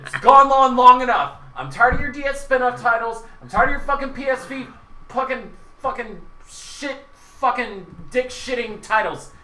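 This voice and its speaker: A man with a very bright, forceful voice and lively pitch hosts a loose gaming chat. He swears freely and leans hard on repeated phrases as he rants. The mic sounds distant in a noisy room. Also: normal speed. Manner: excitable, emphatic ranting, repeats phrases for stress. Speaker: podcast host. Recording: roomy, distant mic with background noise.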